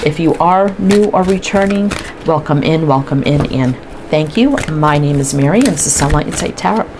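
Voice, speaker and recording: A woman's voice: deep voice, moderate pace, background noise. A woman doing a casual tarot reading, chatting and thinking aloud as she pulls cards, stuffy and sniffling from allergies. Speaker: tarot reader.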